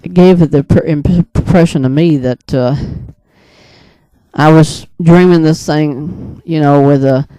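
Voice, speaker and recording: A man with a mellow, smooth voice, pitched a little high, talks casually without a script. He rambles through his storytelling, hesitating and restarting as he goes. The sound is close and dry. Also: steady tone, dry recording, poor audio. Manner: rambling, hesitant, halting mid-thought. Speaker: video creator and storyteller. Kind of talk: casual unscripted monologue recounting a dream.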